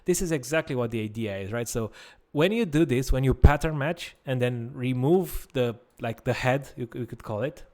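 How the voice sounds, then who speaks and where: A man's voice: medium pitch, normal speed, hardly any pauses, a little mellow. A man in his thirties with a Romanian accent, patiently explaining code step by step in a live-streamed lesson and often asking "right?". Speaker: teacher. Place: small room at a desk.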